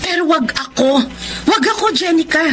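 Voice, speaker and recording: A haughty, scornful older sister, swooping voice. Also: female voice, very high voice, expressive, normal speed, fairly bright tone. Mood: scornful, accusing. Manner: condescending, mixes English into Tagalog. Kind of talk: radio drama acting.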